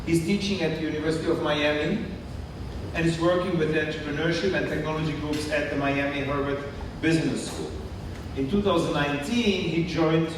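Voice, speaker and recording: A man introducing a keynote speaker, reading haltingly and stumbling over names and words. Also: fairly high pitch, fairly even intonation, far from the mic. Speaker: event host introducing the speaker. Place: large conference hall. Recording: echoey hall, mic heard from afar, noisy.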